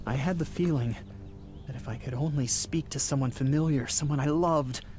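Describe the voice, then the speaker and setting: An actor playing a haunted, frightened male traveller, telling his ordeal in an intense, halting radio play style with repeated words. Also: medium pitch, darkish tone, natural voice. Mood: haunted, tense. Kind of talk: dramatic first-person radio play narration. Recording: music under the voice. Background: dramatic music.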